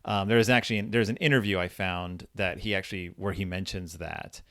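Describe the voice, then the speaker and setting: A man co-hosting a podcast and casually discussing a story, with halting phrasing and repeated words. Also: deep voice, fairly even intonation, a bit bright, no echo, clean studio sound. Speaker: podcast host.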